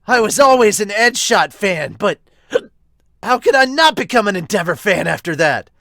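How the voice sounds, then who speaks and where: A man voicing an excitable, admiring young male fan, gushing and chatty, in an anime fan dub style. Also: high-pitched, normal speed, creaky, dry recording, studio quality, voice pushed up, full character voice. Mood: excited, gushing.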